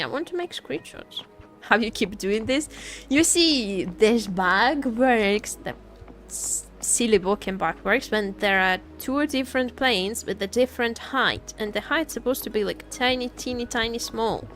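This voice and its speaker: Slow-talking woman streamer. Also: on the high side, lively intonation, fairly bright tone. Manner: playful, repeats words and phrases. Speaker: game livestreamer. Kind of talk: casual reaction commentary to chat while gaming. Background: faint game music.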